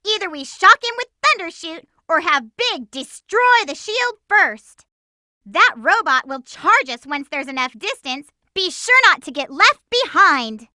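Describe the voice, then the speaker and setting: A spirited young girl heroine from a cartoon-styled video game. The voice is extremely high and sparkling bright, with lively swings in pitch. The delivery is perky, feisty and emphatic, full of determination. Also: unhurried, dry recording, studio quality, full character voice. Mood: eager, determined. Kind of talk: video game voice acting, tips and battle shouts.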